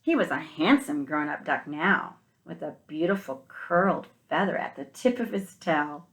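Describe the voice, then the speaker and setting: A woman teacher reading a picture book aloud to young children, expressively, with gentle questioning asides to the listeners. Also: low voice, expressive, moderate pace.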